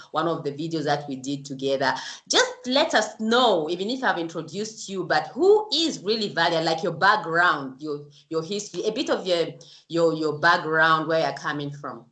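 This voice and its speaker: A woman's voice: lowish voice, animated, normal speed, a bit bright, reverberant. A woman hosting her own show, chatting in a casual interview with effusive, gushing praise. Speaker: YouTube show host.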